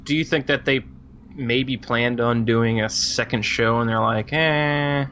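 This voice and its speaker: A man co-hosting a podcast in casual chat, agreeable and thinking out loud. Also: medium pitch, fairly even intonation, unhurried, muffled recording, a little hiss or hum. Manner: agreeable, speculating aloud with short backchannels. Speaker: podcast co-host.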